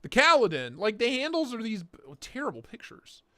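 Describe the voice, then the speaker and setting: Hoarse, excitable man with a lively high voice. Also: brisk, dry recording, clean studio sound. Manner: excitable, profane, jumps into mock voices. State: hoarse, losing his voice. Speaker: livestream host. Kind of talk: casual livestream chat.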